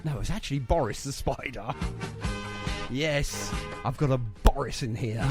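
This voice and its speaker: A man hosting a radio show in a chatty, jovial, bantering manner. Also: on the high side. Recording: music playing underneath the voice. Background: music bed.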